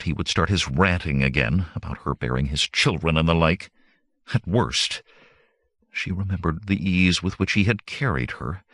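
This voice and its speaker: A male audiobook narrator with a low voice reads fantasy fiction from a script. His delivery is animated and varied in pitch, and the sound is dry, with no room on it. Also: no room sound.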